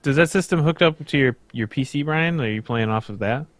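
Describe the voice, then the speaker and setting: A man's voice: medium pitch, brisk, no room sound. A young man chatting casually in an encouraging, conversational way. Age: young adult. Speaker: show participant. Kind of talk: casual chat.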